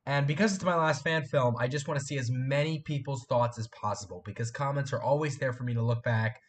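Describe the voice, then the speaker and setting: An eighteen-year-old man hosting a casual live stream from a room at home, hoarse and congested from a cold, rambling and repeating himself as he chats with viewers. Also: medium pitch, a little fast. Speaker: live stream host and fan film maker.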